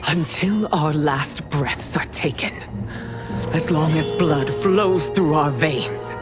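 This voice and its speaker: An actress playing a mature, regal, menacing empress who speaks in a stately, formal manner. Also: female voice, low-pitched, takes it slowly, over loud music. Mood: defiant, menacing.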